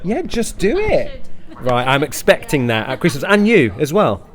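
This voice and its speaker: A man's voice: fairly high pitch, huge pitch swings, normal speed, crowd noise. A man chatting playfully in an interview as a pantomime actor, with a camp, effusive manner full of endearments. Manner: camp, effusive, calls people darling and babes.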